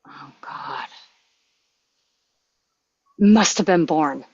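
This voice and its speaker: A woman's voice: low-pitched, drawn-out words, darkish tone, slightly rough, exaggerated voice. A woman at a script table read playing a weary, shaken male intelligence official. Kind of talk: dramatic script reading and stage directions. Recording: roomy, dull muffled microphone sound.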